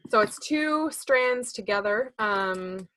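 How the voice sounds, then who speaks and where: Brief: bright, chatty woman in her thirties, casual knitting chat, laughs easily. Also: medium pitch, lively intonation, slow pace, low-quality recording. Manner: chatty, laughs often, lots of fillers. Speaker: podcast co-host.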